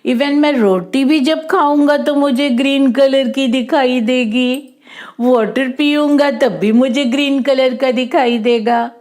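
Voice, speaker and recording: A woman's voice: high-pitched, fairly even intonation, takes it slowly, a little mellow. A woman voicing an earnest, trusting man who seeks help in a children's story. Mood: delighted, grateful. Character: worried, trusting rich man seeking help.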